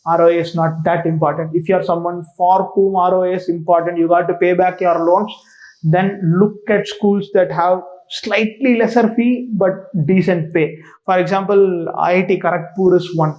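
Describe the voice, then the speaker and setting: A man with an Indian accent and a noticeably high-pitched voice explains things like a coach talking to students. He is emphatic and repeats his points, often checking in as he goes. The recording sounds a little dull and lo-fi. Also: steady tone, normal speed. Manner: emphatic, repetitive, frequently checks with "right?". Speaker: exam coach and mentor. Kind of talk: informal explanatory lecture to students.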